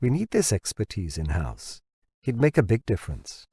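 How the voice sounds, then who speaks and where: A man voicing a shrewd biotech researcher in an audiobook, pitching insistently to his boss. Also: huge pitch swings, warm tone, slightly rough, dry recording, studio quality, exaggerated voice. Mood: confident, insistent. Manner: deliberate, insistent salesmanship. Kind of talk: audiobook character dialogue.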